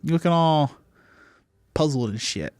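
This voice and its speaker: Lively man running a tabletop game. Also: fairly high pitch, unhurried, smooth, no room sound. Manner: informal, slangy, swearing. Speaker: game master and podcast host. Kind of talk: casual improvised narration of a tabletop game.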